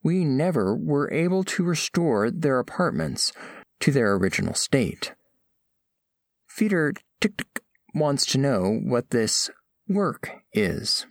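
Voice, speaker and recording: A man playing a formal, scholarly alien diplomat, narrating audio fiction in measured, precise phrases. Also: medium pitch, very animated, dry recording, clean studio sound. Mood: grave, puzzled.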